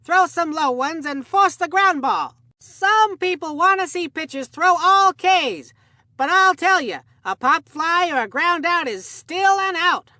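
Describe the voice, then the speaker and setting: An excitable kid sports announcer from a cartoon baseball game. The voice is very high and bright, with a close, dry sound. The delivery is folksy and gushing, full of old-fashioned ballpark slang. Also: female voice, dry recording, full character voice. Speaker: sports commentator. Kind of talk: scripted game sports commentary.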